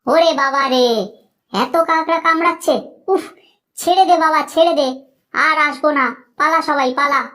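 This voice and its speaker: A woman's voice: high voice, fairly even intonation, normal speed, pauses often, fairly bright tone. A woman voicing a sly, taunting kite bird, henchman to a gang chief in a children's cartoon. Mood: panicked. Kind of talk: Bengali children's cartoon voice acting.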